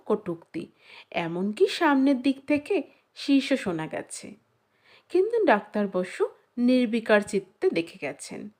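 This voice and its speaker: A woman narrating a literary short story, reading from a script in a measured, expressive storytelling manner. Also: on the high side.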